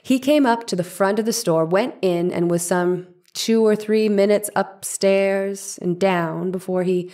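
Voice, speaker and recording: A woman's voice: mid-range voice, unhurried, hardly any pauses, mellow, lightly acted. A woman reading the part of a persistent, exacting defense lawyer in a dramatized 1890s trial, questioning formally and methodically.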